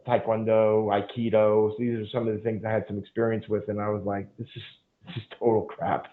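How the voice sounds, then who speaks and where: A man's voice: lowish voice, level tone, a little fast. A man guest on a podcast telling long casual stories, full of fillers and swearing. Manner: rambling, frequent fillers and profanity. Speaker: podcast guest, jiu-jitsu instructor. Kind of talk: casual storytelling interview. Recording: phone-like narrow band.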